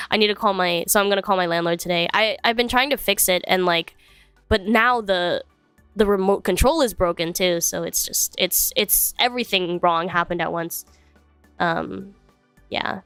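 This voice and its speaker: A woman's voice: medium pitch, a bit bright, clean tone, no echo. A young woman streamer, a little congested, chatting casually with viewers from home and drifting between topics. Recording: dry close mic, faint music behind.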